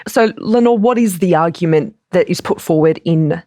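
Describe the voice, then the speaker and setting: A woman's voice: on the low side, moderate pace, dark tone, no echo, clean studio sound. A woman hosting a news podcast, articulately steering a conversational interview. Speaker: podcast host.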